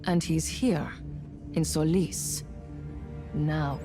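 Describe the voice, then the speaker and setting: A stern female commander from a video game, delivers her words in a clipped, formal military manner. Her voice sits on the low side, with prominent music behind it. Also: fairly even intonation, very slow, full character voice. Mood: cold, formal.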